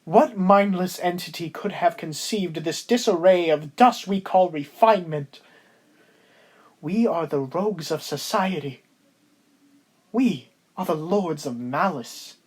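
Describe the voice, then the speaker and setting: A man's voice: sits high, measured pace, husky. A man playing an earnest, aggrieved lieutenant in love in an audio drama, with declamatory, formal period diction. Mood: despairing, declamatory. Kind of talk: stage-play acting in an audio drama.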